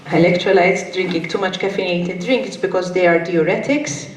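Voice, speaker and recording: A woman presenting at a conference, pausing often with fillers as she searches for words. Her voice rings in a roomy hall with a faint hum underneath. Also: fairly low pitch, steady tone. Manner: hesitant, many fillers. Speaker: conference speaker. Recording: echoey hall with faint noise.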